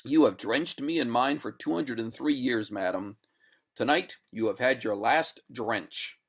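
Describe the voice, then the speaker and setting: A narrator playing a firm, coolly courteous gentleman in a storybook, formal and measured, with a quiet gloat. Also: medium pitch. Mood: cold triumph.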